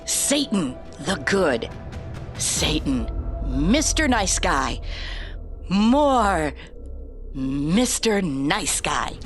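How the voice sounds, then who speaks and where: An actress playing an earnest, careful campaign adviser, nervous but composed and deferential, in an audio drama. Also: female voice, on the low side, very animated, drawn-out words, long pauses, soft background music, heavily acted. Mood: pitching. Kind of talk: scripted audio drama acting.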